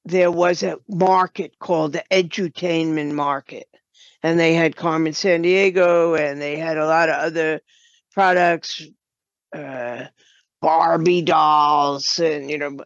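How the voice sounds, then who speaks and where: An older woman answers in an interview at a very slow, halting pace. Her voice is on the low side for a woman. The recording is a bit dull and lo-fi. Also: steady tone, no room sound. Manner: halting, deliberate. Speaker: guest, game writer and producer. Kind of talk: conversational interview. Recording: slightly dull, lo-fi sound.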